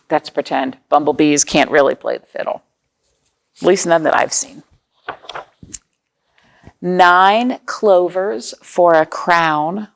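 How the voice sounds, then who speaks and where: A woman with a creaky voice hosting storytime for young children, reading aloud and chatting to them in simple explanations and questions. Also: fairly low pitch. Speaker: children's storytime host at a library.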